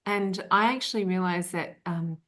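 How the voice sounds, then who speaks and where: Middle-aged woman host, chatty and rambly, lowish voice, lots of ums. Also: moderate pace, fairly bright tone. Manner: chatty, rambling with many fillers. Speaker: podcast host. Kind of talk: casual interview chat.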